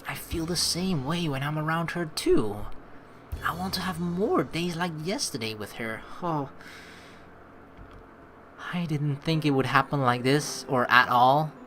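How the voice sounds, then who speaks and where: A woman doing gameplay commentary, reading story text aloud expressively and reacting to it in an animated way. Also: low-pitched, a little hiss or hum. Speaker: let's play host reading a visual novel aloud. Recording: game music behind the voice. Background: game music.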